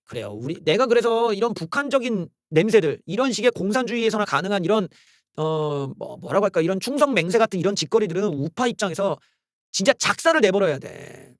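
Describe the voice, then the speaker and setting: A male YouTube host delivers a heated, sarcastic political rant, cursing and exclaiming as he goes. His voice sits high and swings widely in pitch. The sound is dry, with no room echo. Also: big pitch swings, a little fast. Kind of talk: solo political commentary rant.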